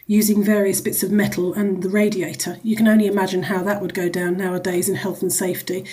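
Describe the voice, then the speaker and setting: A woman's voice: mid-range voice, level tone, fast, dark tone. A woman speaking as a charity executive in a radio interview, explaining the work in a conversational, wordy way with frequent asides.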